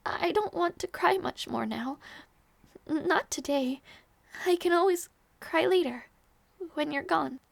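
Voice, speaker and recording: A woman acting a young princess in an intimate audio roleplay, tender and wistful, with soft, regal phrasing that hides sadness. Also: high voice, vocal fry, no room sound, exaggerated voice. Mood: wistful, brave. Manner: soft, measured, regal phrasing with small teasing turns.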